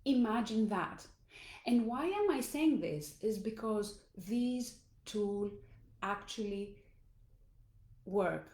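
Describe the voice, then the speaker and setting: A woman's voice: medium pitch, animated, darkish tone, echoey room. A woman with a Greek accent, speaking English as a second language, gives an earnest motivational talk as a life coach. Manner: earnest and deliberate, with small restarts. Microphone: phone or camera in a live stream.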